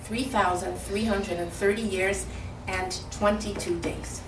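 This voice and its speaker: A woman from Detroit giving a lively, humorous speech at a community event, heard from far off in a hall. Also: mid-range voice, measured pace. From Detroit, Michigan. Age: older. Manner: lively, humorous, speaks from the heart. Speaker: guest speaker. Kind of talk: public speech. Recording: echoey hall from far off, faint noise.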